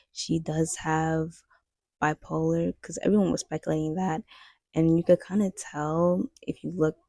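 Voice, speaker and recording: A woman's voice: low-pitched, mellow, no echo, studio quality. A young woman host telling a story in a chatty, slangy commentary style. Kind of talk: casual commentary.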